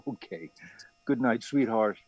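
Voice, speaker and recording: Radio drama dad: actor as a protective, gentle middle-aged father, husky, expressive, on a dull recording. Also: mid-range voice, slow pace, no echo. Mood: gentle, caring.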